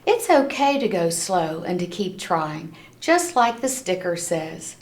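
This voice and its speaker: A woman's voice: fairly low pitch, big pitch swings, unhurried. A woman reading a children's storybook aloud expressively, with simple storytelling and little asides to listeners. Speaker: storybook reader. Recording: echoey room.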